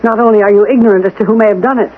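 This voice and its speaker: A woman's voice: medium pitch, fairly even intonation, rapid-fire, natural voice. An actress playing a composed, persistent amateur sleuth in a radio drama, speaking precisely and insistently as she challenges and probes. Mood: challenging. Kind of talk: 1970s radio drama acting. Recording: tinny, noisy old radio broadcast recording.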